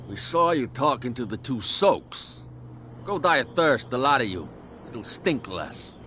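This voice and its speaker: An actor playing a surly male bootlegger thug in a video game, mocking and often hushed. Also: mid-range voice, very animated, unhurried, slight background noise. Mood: scornful.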